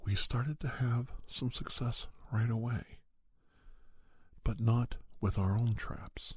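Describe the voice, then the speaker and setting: A man playing a paranormal investigator who recounts his cases in soft, breathy, halting first-person ASMR narration. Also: mid-range voice, fairly even intonation, dry recording. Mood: calm, hushed, matter-of-fact. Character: male paranormal investigator doctor recounting monster cases, unhurried. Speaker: storyteller.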